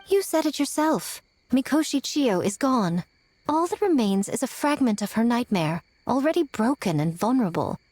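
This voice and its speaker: A woman's voice: fairly high pitch, sing-song, moderate pace, darkish tone, dry recording. A woman voicing a sly, self-assured fox shrine woman in a video game, poised, teasing and eloquent. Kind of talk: scripted video game voice acting. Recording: music behind the voice.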